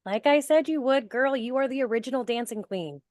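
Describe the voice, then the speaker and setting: A woman's voice: high voice, a little fast, natural voice. A woman acting a supportive, blunt young best friend in a table read of a horror film script. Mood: excited, supportive.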